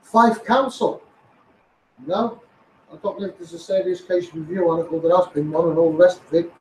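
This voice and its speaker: A high-voiced man with a Scouse accent declaims through a megaphone in an echoey, noisy recording. He speaks as a campaigner, hammering phrases home by repeating them emphatically. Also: steady tone, normal speed, a little mellow, recorded from a distance. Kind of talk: impassioned monologue rant.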